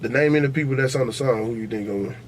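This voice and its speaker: A man's voice: medium pitch, very fast, slight background noise. An American man hosting a casual podcast debate, talking in a slangy, profane, insistent and opinionated way. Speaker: podcast host.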